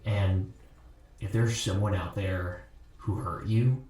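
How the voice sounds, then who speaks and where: A man's voice: low voice, steady tone, slow, a little mellow. Echoing, distant, bitter man. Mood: bitter, accusing. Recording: distant, heavily echoing sound.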